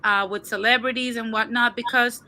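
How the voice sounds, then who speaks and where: Woman guest, video call, very bright voice, thinks aloud, restarts sentences. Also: fairly high pitch, steady tone, normal speed. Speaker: guest on a livestream. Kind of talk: casual opinion discussion.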